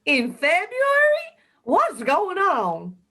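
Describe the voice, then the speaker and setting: A woman's voice: very high-pitched, huge pitch swings, slow, sharp tone, full character voice. A woman in an amateur radio drama playing a weary, exasperated wife and mother. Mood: bewildered.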